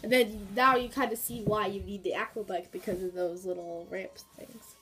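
A woman's voice: medium pitch, steady tone, moderate pace, a bit bright. Chatty woman with a cold, game walkthrough. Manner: chatty, rambling with fillers and asides. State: has a cold, sniffling. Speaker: gaming YouTuber. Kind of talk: casual game walkthrough commentary.

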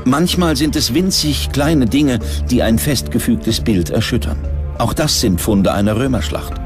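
A man's voice: medium pitch, animated, unhurried. A man reading scripted documentary narration as a narrator. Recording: music behind the narration. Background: background music.

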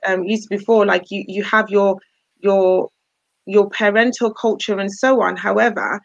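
A woman speaking in a measured, reflective way as a panel moderator over a video call. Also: mid-range voice, steady tone, gravelly, no room sound. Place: room at home. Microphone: laptop webcam on a video call. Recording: muffled video-call audio.

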